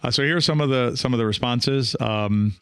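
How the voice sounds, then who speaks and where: A man's voice: medium pitch, brisk, fairly bright tone, smooth, dry recording, studio quality. A man hosting a coaching podcast in a casual monologue, speaking in a hesitant, rambling way as he thinks aloud. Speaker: podcast host and pickleball coach.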